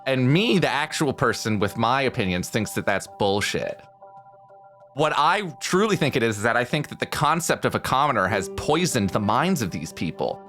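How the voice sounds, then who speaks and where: A man's voice: mid-range voice, sing-song, normal speed, a bit bright, soft background music. A man arguing casually but passionately, emphatic and stumbling, repeating himself as he goes. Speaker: podcast panelist. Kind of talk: impassioned casual debate.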